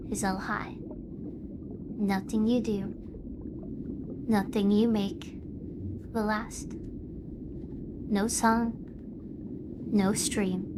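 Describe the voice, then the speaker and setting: A woman plays a young female streamer and her alter ego in an audio drama. The voice is fairly high and emotionally intense, with clearly audible ambient noise behind it. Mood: grave, brooding. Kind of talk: scripted dramatic dialogue. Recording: noisy background ambience.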